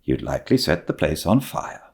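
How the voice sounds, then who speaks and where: A man voicing a stern, sharp-tongued older spinster, brisk and disapproving, in an audiobook story. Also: a bass voice, sing-song, vocal fry, heavily acted. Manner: brisk, scolding.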